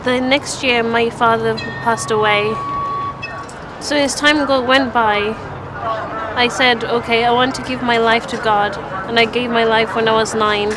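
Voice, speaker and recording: Young woman from zimbabwe telling her church story, flat steady voice, street noise behind. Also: fairly high pitch, a little slow. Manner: earnest, even delivery with small restarts. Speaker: church testimony speaker. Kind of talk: personal faith testimony.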